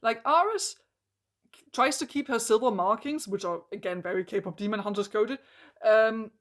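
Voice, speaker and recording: A hoarse woman reviewing a book in an exasperated, emphatic rant, full of "like" and restarts. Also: on the high side, moderate pace.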